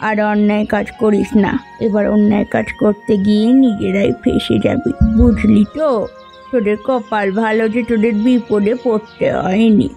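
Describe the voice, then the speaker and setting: Woman playing a creaky, teasing old village woman. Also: medium pitch, fairly even intonation, moderate pace, mellow, soft background music, lightly acted. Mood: admonishing. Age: older. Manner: mocking, blunt, moralizing. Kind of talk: Bengali fairy-tale cartoon voice acting.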